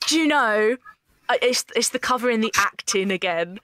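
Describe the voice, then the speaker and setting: A woman's voice: on the high side, lively intonation, moderate pace, pushed and bright, no echo, studio quality. A woman leading a casual, informal and jokey chat as writer and actress. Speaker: writer, actress and discussion host. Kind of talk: casual behind-the-scenes chat. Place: bedroom, behind a duvet.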